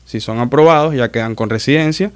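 Smooth-voiced man telling stories. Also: mid-range voice. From Maracaibo, Venezuela. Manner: chatty storyteller, quick self-corrections. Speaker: guest, muralist. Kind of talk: casual interview chat.

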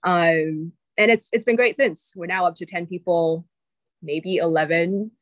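A woman in her thirties with a mixed Singaporean and American accent, speaking in a fairly low voice. She talks as a guest in a relaxed, chatty way, filling pauses with um and like. The sound is dry and close, with no room echo. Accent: mix of Singaporean and American English. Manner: chatty, many fillers like um and like. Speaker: venture capital partner, guest. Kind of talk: casual interview chat.

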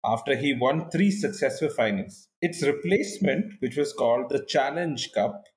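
A man's voice: mid-range voice, expressive, normal speed, a little mellow, low-quality recording. Self-deprecating man thinking aloud. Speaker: co-host, quiz contestant. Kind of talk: casual quiz chat.

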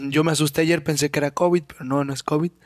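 Hoarse Mexican man, sick throat, cursing. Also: on the high side, steady tone, fast, dry recording. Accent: Mexican Spanish. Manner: rambling and profane, clears his throat often. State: sore, hoarse throat from illness. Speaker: podcast host. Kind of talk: casual solo podcast monologue.

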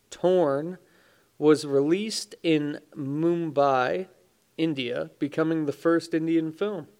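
A man's voice: a little high, clean tone. A man hosting a casual podcast, congested and phlegmy, swearing often and joking offhand as he reads through dates in history. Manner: swears often, offhand jokes, stumbles over names. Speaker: podcast host. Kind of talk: casual solo podcast, reading daily history.

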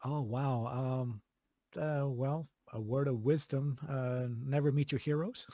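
A man from Sweden with a Swedish accent, chatting casually as an interview guest, relaxed, with many fillers and chuckles. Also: medium pitch, measured pace, no echo. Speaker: video game composer, guest.

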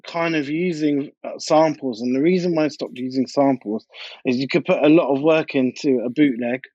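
A man's voice: mid-range voice, moderate pace, warm tone, breathy edge, no room sound. Guy, producer telling stories in a casual interview, repeats bits for emphasis, kinda lo-fi and dry. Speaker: DJ and music producer being interviewed. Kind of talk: casual interview chat, anecdotal.

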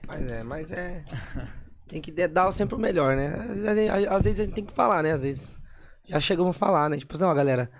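A man's voice: a little high, expressive, fairly quick. A man who sings in a duo, speaking in an easygoing way and often ending sentences with "né" in a casual interview. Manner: easygoing, lots of "né" tags. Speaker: singer in a duo.

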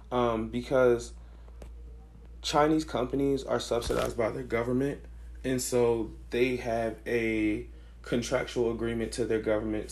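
A hoarse young man with a raspy voice, co-hosting a podcast in slangy casual chat. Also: medium pitch. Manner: relaxed, slangy, thinks aloud with fillers. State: hoarse, losing his voice. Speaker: podcast co-host.

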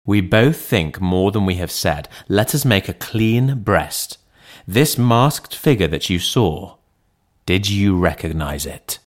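A man reading the part of a sober, rational gentleman lawyer in an audiobook, in a measured, formal manner. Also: low voice, lively intonation. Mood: frank, serious.

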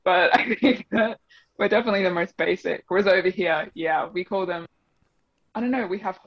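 A woman's voice: lowish voice, a little fast, no room sound. A young woman with a blended Australian and English accent, chatting as an interview guest with lots of fillers and laughs. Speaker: guest, double bass player. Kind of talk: casual interview chat. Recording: dull, slightly muffled dry audio.

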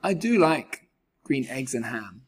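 A man reading a rhyming children's book aloud in an expressive, theatrical way, with a husky voice. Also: mid-range voice, slow. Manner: animated, theatrical. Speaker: storybook reader.

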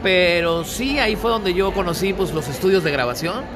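A man's voice: high-pitched, moderate pace, background noise. A middle-aged man, a singer-songwriter being interviewed, telling stories casually with slang and frequent laughter. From Mexico.

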